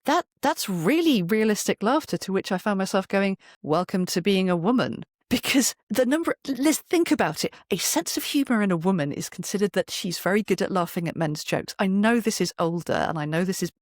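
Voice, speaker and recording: A woman's voice: medium pitch, lively intonation, a little fast, few pauses, no room sound, clean studio sound. A woman in her fifties talking conversationally as an interview guest, articulate and chatty, often acting out quoted remarks. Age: 50s. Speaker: interview guest, performer and poet.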